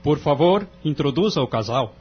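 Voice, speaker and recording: An actor playing a grave, devout judge of about fifty, formal and solemn, in an audio drama. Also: male voice, a little high, expressive, normal speed, natural voice. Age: 50s. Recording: dull lo-fi audio with mains hum.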